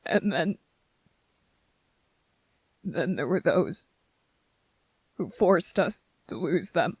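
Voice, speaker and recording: A performer voicing a gentle, grieving young male soldier in an intimate ASMR roleplay, speaking haltingly and emotionally, close to tears. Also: mid-range voice, lively intonation, husky, no echo, full character voice, rough recording. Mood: grieving, aching. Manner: halting, emotional, earnest, short broken phrases.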